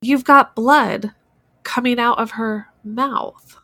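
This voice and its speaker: A woman playing a stern, probing senator questioning a witness in an amateur dramatic reading, deliberate and pointed. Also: on the high side, fairly even intonation, sharp tone, no echo, heavily acted. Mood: pointed, accusing.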